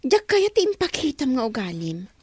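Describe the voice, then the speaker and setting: A woman playing a disappointed, reproachful young sweetheart with an Ilocano accent, plaintive and expressive, in a comedy radio drama. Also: high-pitched, normal speed, slightly rough, no echo, full character voice. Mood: regretful, reproachful.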